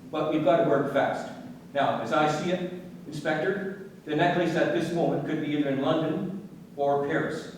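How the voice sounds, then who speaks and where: Male actor as businesslike assessor, radio drama, clipped, urgent, slightly high voice, very reverberant room. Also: natural voice. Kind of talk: radio play acting. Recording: very echoey, distant, rumbling noise.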